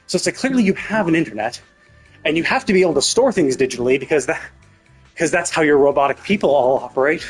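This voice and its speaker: Husky man rambling over game music. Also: fairly high pitch, animated, fast. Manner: rambling, repetitive, emphatic musing. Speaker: live streamer playing a story game. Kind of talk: casual gameplay commentary.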